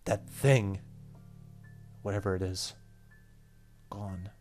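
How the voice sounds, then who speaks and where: A man's voice: low voice, fairly even intonation, slow pace, a little mellow. A man playing a horror game, reading its story text aloud dramatically and commenting casually. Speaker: gaming YouTuber. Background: game music.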